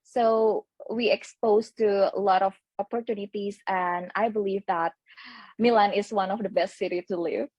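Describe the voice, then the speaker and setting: Husky-voiced young student. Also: female voice, mid-range voice, steady tone, normal speed, darkish tone, no room sound. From Indonesia. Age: young adult. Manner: enthusiastic, non-native phrasing. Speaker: international student. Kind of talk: casual webinar answer. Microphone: video call.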